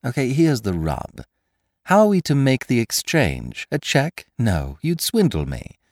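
A man voicing a triumphant, mocking gentleman thief in an audiobook, theatrical and commanding, firing off questions and taunts. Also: medium pitch, huge pitch swings, a little slow, no echo, clean studio sound, voice pushed up, exaggerated voice. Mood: brisk, scheming. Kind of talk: dramatised audiobook character voice.

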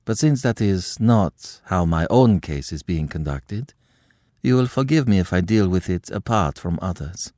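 A man voicing a composed young noblewoman who speaks quietly and firmly. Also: deep voice, animated, no echo, clean studio sound. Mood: calm firm. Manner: quiet, measured.

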